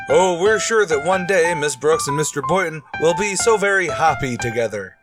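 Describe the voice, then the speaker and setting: A man's voice: a little high, animated, moderate pace. Chatty male announcer over prominent music. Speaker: announcer and host. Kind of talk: podcast outro announcement.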